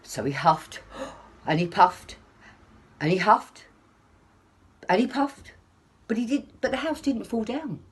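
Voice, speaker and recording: Husky, expressive woman reading to young children. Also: medium pitch, slow pace, pauses often. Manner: dramatic, with hushed asides. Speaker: early years storyteller.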